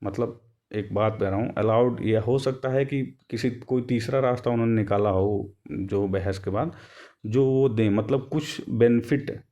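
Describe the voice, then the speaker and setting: A man's voice: medium pitch, unhurried, mellow. A man giving an informal explanatory commentary, rephrasing and repeating himself as he goes. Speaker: YouTube host explaining a court case update.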